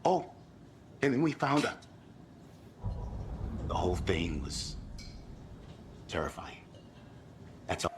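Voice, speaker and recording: A man playing a rattled, casual tourist witness in a game drama, speaking in a halting, slangy way. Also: low-pitched, sing-song, exaggerated voice. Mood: shaken, uneasy. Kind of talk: game cutscene drama acting. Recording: noisy game scene ambience.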